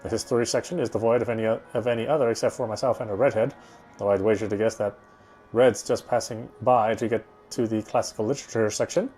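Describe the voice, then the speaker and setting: Man reading aloud, mellow, music behind. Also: lowish voice, steady tone, fast talker. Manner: stumbles and rereads phrases. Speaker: game streamer reading aloud. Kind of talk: reading visual novel narration aloud.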